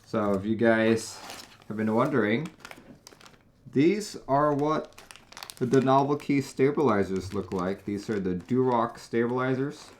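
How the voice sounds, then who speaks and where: A man from Taiwan hosting a casual live stream, talking in a chatty, relaxed way with frequent small restarts. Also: mid-range voice, a little slow, slight background noise. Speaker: host.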